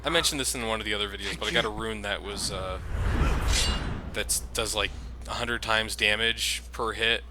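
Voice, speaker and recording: A man's voice: on the low side, steady tone, moderate pace. A man hosting a video game playthrough with casual, rambling, conversational commentary. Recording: clearly noisy background.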